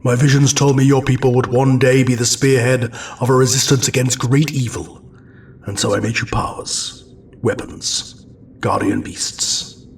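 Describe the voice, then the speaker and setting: Guy doing old wizard, solemn n aloof, creaky voice, bit far off mic, audio drama. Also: mid-range voice, steady tone. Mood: stern, weary. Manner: solemn, deliberate. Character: ancient robed wizard creator, stern, weary, aloof. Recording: off-mic with faint noise.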